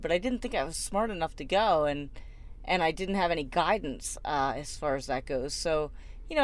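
Chatty woman telling a story with a lower voice. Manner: rambling, laughs often, many fillers and restarts. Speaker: podcast co-host. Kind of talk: casual storytelling chat.

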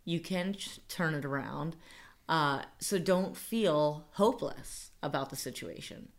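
A woman's voice: deep voice, a little slow. A young adult woman co-hosting a casual podcast, chatty and upbeat, laughing easily between points. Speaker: podcast co-host.

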